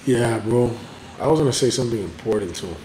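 A man's voice: mid-range voice, expressive, fairly quick, crowd noise. Crude rambling man in an echoey room. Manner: crude, rambling, heavy on filler words. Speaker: podcast co-host. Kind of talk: casual chat. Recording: echoey room with faint background noise.

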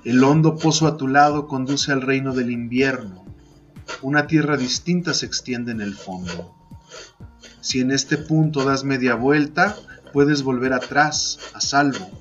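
A man's voice: medium pitch, muffled recording. Man narrating a poetic tale over music. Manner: measured, deliberate, second-person storytelling delivery. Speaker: podcast host and narrator. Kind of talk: dramatic literary narration of a poem.

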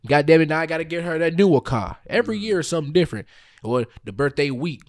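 A man's voice: on the high side, expressive, fast talker, no echo, studio quality. A man with a Southern American accent co-hosting a podcast, telling stories bluntly and swearing. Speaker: podcast co-host. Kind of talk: casual group chat.

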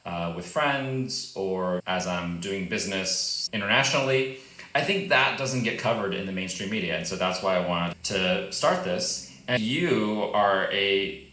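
Bright-voiced eager male podcast host. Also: sits low, expressive, unhurried. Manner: eager, upbeat questioning. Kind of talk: casual podcast interview. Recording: roomy echo.

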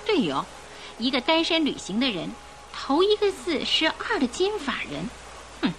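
High-voiced gossipy lady passenger. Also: wildly swooping pitch, moderate pace, fairly bright tone, a little hiss or hum, exaggerated voice, low-quality recording. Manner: chatty, emphatic interjections. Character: gossipy, suspicious female ship passenger. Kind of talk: Chinese radio drama acting.

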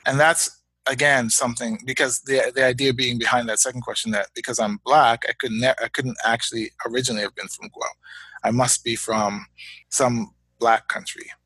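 A Canadian man and father of young children, talking as a guest in a reflective interview, measured and halting, often repeating words as he thinks. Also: mid-range voice, fairly even intonation, pushed and bright, breathy edge, no room sound, poor audio.

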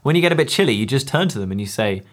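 A man's voice: medium pitch, animated, racing. A man co-hosting a casual podcast, joking and acting out little scenes. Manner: playful joker who acts out little scenes. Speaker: podcast co-host. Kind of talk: casual chat.

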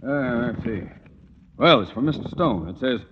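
An actor playing a pompous, irascible middle-aged school principal with a theatrical, blustering delivery in an old radio comedy. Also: male voice, mid-range voice, expressive, normal speed, lightly acted. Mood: brisk, composed. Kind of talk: 1940s-50s radio comedy acting. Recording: muffled, noisy old radio recording.

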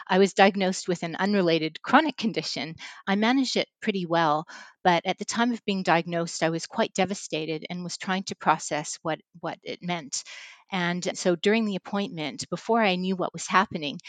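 A woman researcher telling a personal story in an interview, in a measured and reflective way. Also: fairly low pitch, no echo. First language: English. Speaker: researcher guest.

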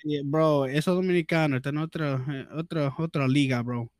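Slightly high-voiced man chatting in Spanglish. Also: moderate pace, no echo, clean studio sound. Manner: slangy, jokey, peppers Spanish with English fillers. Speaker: podcast guest. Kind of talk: casual bilingual chat.

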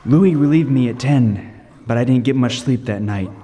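A man playing a haunted lighthouse keeper, narrating a horror tale in deliberate, dramatic radio-play style. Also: medium pitch, mellow, close to an everyday voice. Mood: haunted fascination. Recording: long reverb, music underneath.

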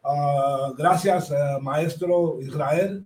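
A man's voice: a little high, steady tone, takes it slowly, a little mellow. A man with a Puerto Rican accent hosting a casual radio talk show, effusive, repeating his phrases and mixing English with Spanish. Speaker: radio host. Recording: clear background noise.

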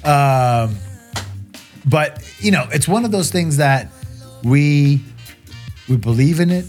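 A man's voice: on the high side, animated, takes it slowly, darkish tone, soft background music. A man from Brazil who chairs a soccer club, talking earnestly in a casual podcast chat and often repeating words as he thinks.

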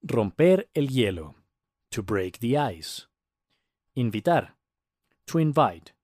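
A man narrating a scripted story for language learners, with careful, deliberate enunciation. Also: medium pitch, big pitch swings, a little mellow, no echo, studio quality.

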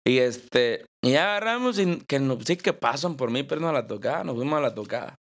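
A man's voice: mid-range voice, animated, fairly quick. Young Mexican man telling laughing stories. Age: 20s. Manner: laughing, joking storyteller, slangy. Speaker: banda singer, guest. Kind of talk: casual storytelling interview.

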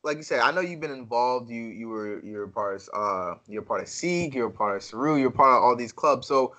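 A man hosting a casual interview, asking relaxed, rambling questions. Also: mid-range voice, moderate pace. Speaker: host.

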